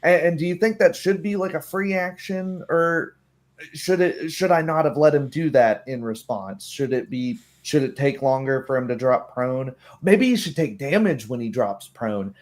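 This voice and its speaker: A man's voice: fairly high pitch, moderate pace. A man talking casually as a game master, rambling and acting out imagined remarks as he goes. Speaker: game master of a tabletop roleplaying group. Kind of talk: casual chat about tabletop game rules.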